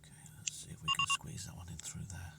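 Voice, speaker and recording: A man giving casual commentary on his own gameplay, muttering to himself and often trailing into near-whispers. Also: fast. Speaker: gamer narrating his own play. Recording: distant mic with music playing.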